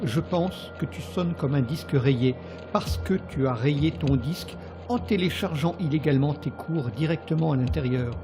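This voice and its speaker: A man's voice: a little high, normal speed, natural voice. An actor playing an authoritative, probing robot engineer and father in an audio drama. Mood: probing, firm. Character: commanding android-engineer father, probing and determined. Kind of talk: French audio drama acting. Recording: music behind the voice.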